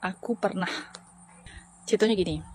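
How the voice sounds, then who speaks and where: A woman's voice: mid-range voice, normal speed, darkish tone, a little hiss or hum. A woman with a Riau Malay accent telling a chatty personal story to camera. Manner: chatty, anecdotal.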